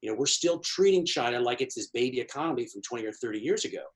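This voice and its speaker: A man's voice: mid-range voice, lively intonation, fast talker. A middle-aged man from Washington, D.C., giving long, fluent, emphatic answers as an interview guest. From Washington, D.C., USA. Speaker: guest, China economy analyst.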